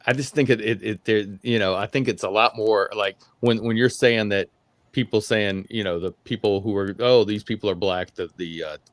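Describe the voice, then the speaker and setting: A man's voice: lowish voice, moderate pace, dry recording. A man talking casually on a panel, hesitant and searching for his words.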